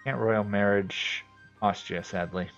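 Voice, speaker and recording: A man from New England with a New England accent, casually commenting on his strategy game as he plays and thinking out loud with many fillers. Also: on the low side, steady tone, a little slow, muffled recording, soft background music. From New England, USA. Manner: thinks aloud, rambling with fillers. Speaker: game streamer. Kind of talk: casual commentary while playing.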